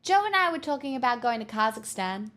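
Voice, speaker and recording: A woman's voice: fairly high pitch, sing-song, a little fast, a bit bright. A woman live streamer chatting casually and candidly with viewers, answering their messages with frequent restarts and the odd swear.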